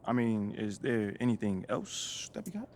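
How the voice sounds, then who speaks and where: A man's voice: lowish voice, sing-song, moderate pace, darkish tone, slight background noise. An actor voicing a laid-back young male rookie basketball player in a video game cutscene, talking casually with slang and jokes. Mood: relaxed. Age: young adult.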